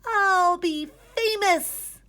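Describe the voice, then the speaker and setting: A woman voicing a boastful, excitable young boy groundhog in a children's storybook, loud and gloating. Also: very high-pitched, very slow, pitched above the performer's own voice, full character voice. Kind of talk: children's storybook read-aloud.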